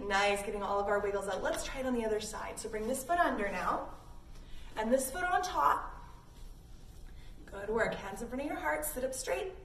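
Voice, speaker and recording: A woman's voice: a little high, lively intonation, moderate pace, a bit bright. A woman leading a children's read-along yoga lesson, reading expressively and giving simple, encouraging instructions to young children. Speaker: children's yoga teacher reading a picture book aloud. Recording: echoey room, distant mic, background noise.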